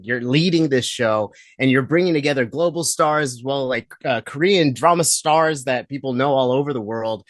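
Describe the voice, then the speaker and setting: A man's voice: mid-range voice, fairly bright tone, no room sound. Chatty young man interviewer, dry sound. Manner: chatty, enthusiastic, heavy with fillers like "like". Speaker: entertainment interviewer. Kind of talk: casual press junket interview.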